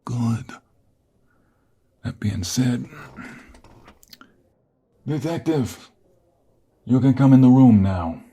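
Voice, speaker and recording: A man playing an ageing mobster father in an intimate audio roleplay, weary, stern but softening, speaking in a measured paternal way. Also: medium pitch, lively intonation, full character voice. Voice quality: husky, gravelly.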